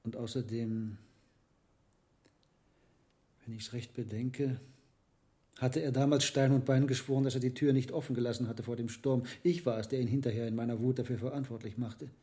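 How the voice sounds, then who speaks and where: An actor playing a learned, hesitant medieval monk herbalist in a radio drama, speaking in a deliberate, musing way. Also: male voice, mid-range voice, steady tone, dark tone, close to an everyday voice. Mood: pensive, doubtful. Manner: deliberate, musing, with reflective pauses. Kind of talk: German radio drama acting.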